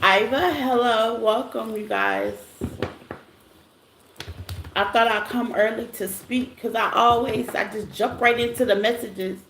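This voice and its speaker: A woman with a scratchy, rough voice hosts a casual tarot livestream, greeting viewers by name in a loose, chatty way. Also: a little high, fairly even intonation, measured pace, fairly bright tone. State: voice rough after a silent morning. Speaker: tarot reader hosting a live stream. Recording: echoey room with faint noise.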